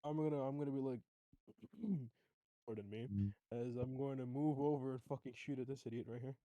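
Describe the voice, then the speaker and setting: A man's voice: medium pitch, steady tone, brisk, mellow, no room sound, highs cut off. A man playing a tabletop roleplaying game, chatting casually with plenty of swearing and slangy grumbling. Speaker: player. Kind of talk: casual gaming chat.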